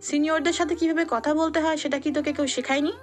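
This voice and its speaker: Tough senior girl, firm and commanding, high voice, played by a woman. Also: fairly even intonation, fast, natural voice. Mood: stern. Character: confident senior female student, protective and tough.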